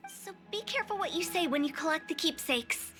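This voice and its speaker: A woman's voice: extremely high pitch, expressive, fairly quick. An actress playing a quirky, confident young female funeral director in an anime game, breezy and self-assured. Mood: brisk, instructing. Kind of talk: anime video game voice acting. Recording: music behind.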